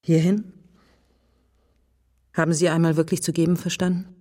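Radio drama policewoman, played by an actress: soft dark tone, earnest and insistent, intimate deliberate questioning. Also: fairly low pitch, little pitch movement, close to an everyday voice. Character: earnest, probing policewoman challenging a wary man. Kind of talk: German radio drama acting.

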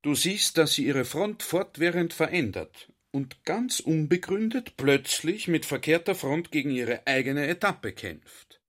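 An actor playing a talkative, earnest and exasperated army general who confides at length in a literary radio play. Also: male voice, on the high side, animated, measured pace, hardly any pauses, a bit bright. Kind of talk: literary radio drama dialogue.

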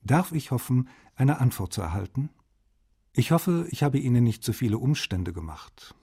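An actor playing a courteous, meticulous male translator, reading formal letters aloud in a precise, polite, slightly husky voice for a radio feature. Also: medium pitch, big pitch swings, moderate pace, mellow.

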